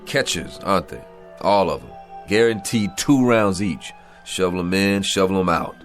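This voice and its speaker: An actor playing a proud, hot-tempered, aging has-been boxer in a radio drama, with gruff, slangy, confrontational talk. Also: male voice, low-pitched, lively intonation, normal speed. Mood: gruff, defiant. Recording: dramatic music behind.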